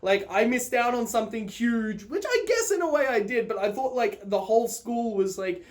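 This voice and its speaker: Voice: medium pitch, animated, normal speed. Casual, rambling, sweary vlogger. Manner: rambling, informal, sweary, often breaking into laughter. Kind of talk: casual personal storytelling to viewers.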